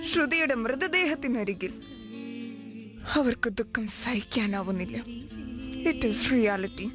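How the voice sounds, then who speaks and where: A woman playing a melodramatic, intrusive TV reporter with an affected manner in a radio drama. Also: fairly high pitch. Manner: affected, theatrical, mixes in English.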